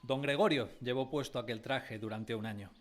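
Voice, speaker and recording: A man's voice: medium pitch, normal speed, close to an everyday voice. An actor narrating a radio drama as a man recalling his village childhood, wistfully, in a literary style. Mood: reflective. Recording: music behind the voice.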